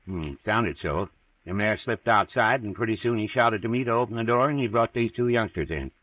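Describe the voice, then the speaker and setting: A man's voice: fairly low pitch, brisk, fairly bright tone, no room sound, lightly acted. An actor playing a folksy, superstitious small-town doctor in an old-time radio drama, rattled and stubborn, telling his story in hesitant rural phrases. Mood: earnest recounting. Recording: vintage radio recording, slightly distant.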